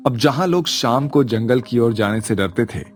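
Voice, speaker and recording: A man's voice: medium pitch, lively intonation, normal speed. A man narrating a scripted spooky village story as its storyteller.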